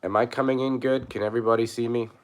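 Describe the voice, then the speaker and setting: A man hoarse from a cold, talking casually on his channel, halting and restarting with many fillers. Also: mid-range voice, steady tone, slight background noise. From New York. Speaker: prepper channel host. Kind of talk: casual live commentary.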